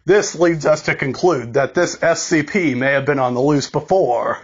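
A man reads a scripted emergency broadcast announcement in a formal, deliberate way, halting and repeating words, like an amateur. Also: fairly high pitch, slightly rough, lo-fi sound.

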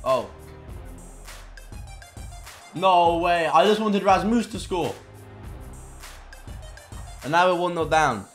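A young man commentating on his own gameplay at home. His voice sits high and swings wildly, jumping from low muttering to sharp yelps. The room rings with echo, and faint game music plays underneath. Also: slow pace, long pauses. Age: young adult. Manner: excitable, loud outbursts at goals, muttering between plays. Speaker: gaming content creator. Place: home gaming room indoors.

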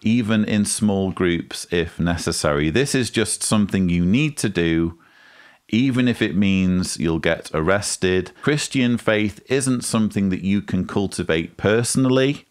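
Casting: male commentator, forties, very deep voice, measured explainer delivery, close studio mic. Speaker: host, commentator. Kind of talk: critical video commentary to camera. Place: small indoor room with bookshelves. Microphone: studio mic on boom arm, close.

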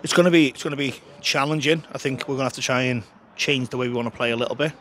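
Frank football manager outdoors. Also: male voice, mid-range voice, racing, fairly bright tone, a little hiss or hum. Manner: frank, chatty, frequent restarts and fillers. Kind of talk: post-match interview. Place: outdoors beside a football pitch. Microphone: handheld interviewer mic held close.

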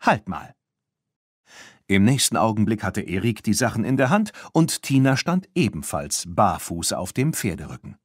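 A man narrating a children's audiobook in an animated storytelling manner. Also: on the low side, normal speed, hardly any pauses, husky, dry recording, studio quality. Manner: animated storytelling, acting out dialogue.

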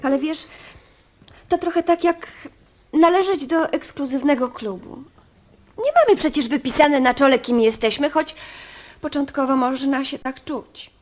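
A woman's voice: sits high, a little slow, darkish tone. An actress playing an earnest, reassuring young girl in a radio drama. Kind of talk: Polish radio drama acting.

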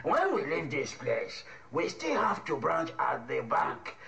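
An actor playing a fatherly, middle-aged Nigerian uncle in a radio drama, soothing and measured, with a Nigerian accent. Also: a little high, wildly swooping pitch, creaky, fairly bright tone, heavily acted. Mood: hushed, placating. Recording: dull, faintly noisy recording.

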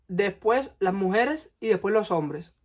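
A young man in his twenties from Cuba, speaking with a Cuban Spanish accent, telling a personal story to the camera in his bedroom with lively, expressive delivery. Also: high-pitched, slow pace. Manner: animated, expressive, gesturing with hands. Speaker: vlogger. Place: small bedroom, indoors. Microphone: camera in front at close range.

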